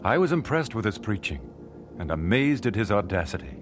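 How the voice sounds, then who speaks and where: An actor playing a thoughtful, genteel American singer recalling his life in a radio drama, measured and well-spoken. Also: fairly low pitch, very animated, over music. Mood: reflective. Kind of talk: radio drama first-person narration. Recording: noisy old radio drama recording.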